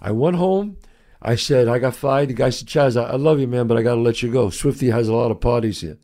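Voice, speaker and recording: A man's voice: mid-range voice, fairly quick. A man, a guest telling stories and acting out the lines of people in them. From New York. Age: 60s. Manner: animated storyteller who acts out quotes. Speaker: guest, actor and writer. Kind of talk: storytelling in an interview.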